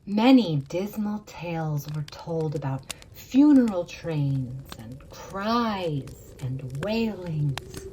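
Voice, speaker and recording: A woman narrating a storybook tale for a puppet show in a theatrical, expressive way, savoring the words. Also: on the low side, very slow, few pauses, a little hiss or hum, rough recording.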